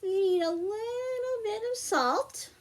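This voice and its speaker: A woman's voice: very high-pitched, slow. A woman hosting a children's science storytime, explaining a hands-on demonstration step by step in simple, patient phrasing. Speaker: children's librarian, storytime host.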